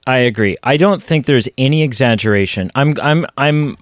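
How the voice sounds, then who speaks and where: A man co-hosting a casual podcast chat, going hoarse as he talks, rambling with quick self-deprecating asides. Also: medium pitch, no echo, clean studio sound. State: losing his voice. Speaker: podcast co-host.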